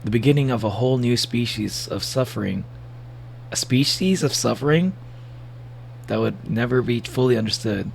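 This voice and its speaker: Man, mid-pitched gravelly voice, playful streamer commentary, joking and rambling, humming noisy background. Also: moderate pace. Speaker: streamer reacting to horror videos. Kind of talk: casual livestream reaction chat with bits read aloud.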